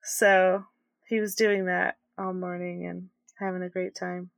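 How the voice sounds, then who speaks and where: A woman's voice: fairly low pitch, level tone, vocal fry, no room sound. A woman co-hosting a casual podcast who chats about her dog and laughs easily. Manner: chatty and giggly, quick reactions. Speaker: podcast co-host.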